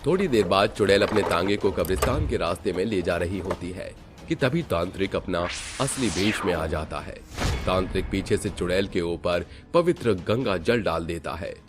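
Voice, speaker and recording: A man narrating a cartoon horror story in steady storybook style. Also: low voice, moderate pace, over music.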